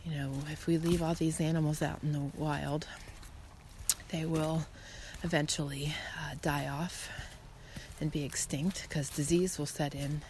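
A woman with a quite low-pitched voice talks casually as the host of a solo podcast. She is earnest and encouraging, breaking her thoughts into short phrases with pauses. Clear background noise runs under the recording. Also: flat delivery, darkish tone. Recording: clearly noisy background, some clicks.

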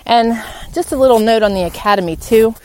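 A woman's voice: fairly high pitch, fairly quick, warm tone. A woman hosting a solo podcast, talking informally and earnestly as she encourages her listeners. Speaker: podcast host. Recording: clearly noisy background with clicks.

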